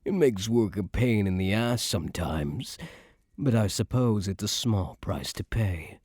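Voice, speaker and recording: A man playing a cocky young mafia heir who is a doting but menacing boyfriend, in an intimate ASMR roleplay with slow, smug tough-guy slang. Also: fairly low pitch, animated, darkish tone, slightly rough, no room sound, clean studio sound. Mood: teasing, affectionate.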